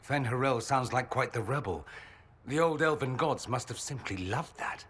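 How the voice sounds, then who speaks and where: A man's voice: mid-range voice, lively intonation, moderate pace, natural voice. An actor playing a cultured, sardonic male mage in a video game, speaking in a wry, articulate, musing way. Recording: echoey hall, faint background noise.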